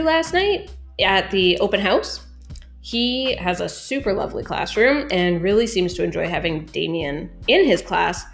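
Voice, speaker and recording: A woman's voice: medium pitch, close to an everyday voice. Polite, concerned woman as a mother. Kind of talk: role-played phone call in an audio case study.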